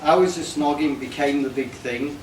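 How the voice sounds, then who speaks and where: A man reciting a comic rhyming tribute poem to a gathering, line by measured line. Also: on the high side, fairly even intonation, distant mic. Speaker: reader of a birthday tribute poem. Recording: echoey, noisy room recorded from afar.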